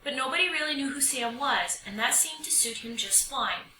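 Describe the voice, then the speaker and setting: Female storybook reader, very bright and lively, roomy distant recording. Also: high voice, normal speed. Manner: expressive, animated storytelling delivery. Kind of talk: children's picture book read-aloud. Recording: roomy echo, distant mic, faint noise.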